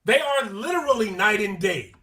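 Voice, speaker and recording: A man co-hosting a podcast in casual sports chat, animated and slangy, repeating his points for emphasis. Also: sits high, normal speed, a loud crowd. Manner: animated, quotes players in their own voices. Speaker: podcast co-host.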